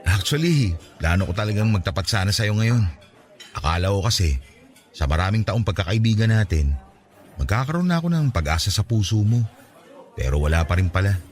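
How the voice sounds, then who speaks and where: A young man confessing his love in a radio drama. His voice sits low but moves widely as he pleads. Soft music plays faintly beneath him. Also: big pitch swings, a little fast. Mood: hurt. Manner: earnest, pleading.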